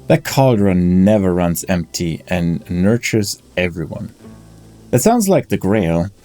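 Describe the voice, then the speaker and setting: A grim knight from a dark fantasy game, in a low register. He sounds doubtful and questioning, with music underneath. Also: very animated, slow, heavily acted. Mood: skeptical. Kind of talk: scripted video game dialogue.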